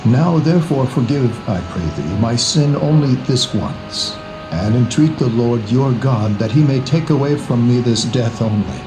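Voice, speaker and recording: A man's voice: medium pitch, lively intonation, a little slow, lightly acted, low-quality recording. A man playing a proud, stubborn, menacing Egyptian king in a scripture drama, commanding and emphatic. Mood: pleading. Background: prominent background music.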